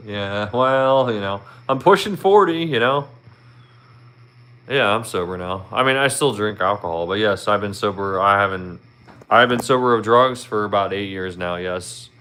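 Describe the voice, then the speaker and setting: A man in his thirties with a Philadelphia accent, hosting a casual livestream. His voice sits low and comes across smooth and resonant. He rambles in a friendly way, filling gaps with "you know". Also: measured pace, bright, forceful tone. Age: 30s. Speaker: livestream host. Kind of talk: casual livestream chat with viewers.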